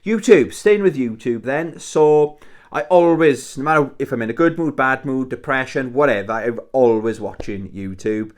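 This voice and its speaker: A man's voice: mid-range voice, moderate pace. A man in his forties with a thick Welsh accent, chatting casually and rambling through his week in a personal vlog, with many fillers and restarts. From Wales. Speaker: YouTuber. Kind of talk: casual weekly roundup vlog.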